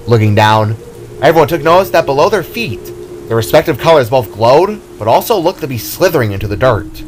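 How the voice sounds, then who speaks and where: A man's voice: mid-range voice, sing-song, a little fast, a little hiss or hum. A man reading his fantasy story aloud as a dramatic scripted narration. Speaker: author narrating own story.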